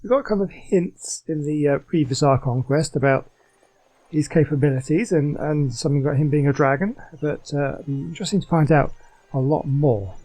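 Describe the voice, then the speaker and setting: Mellow, higher-pitched man playing games. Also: moderate pace, rough recording. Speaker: gaming video host. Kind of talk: casual game playthrough commentary, reading some dialogue aloud.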